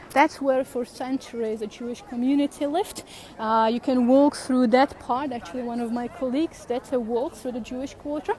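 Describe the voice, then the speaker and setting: A woman from Slovakia in her thirties, speaking English, leads viewers on a live outdoor walking tour. She talks in a friendly, unhurried way and keeps pausing on fillers and restarts. Her voice sits a little high, with faint street noise from the city around her. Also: darkish tone, rough recording. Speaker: tour guide.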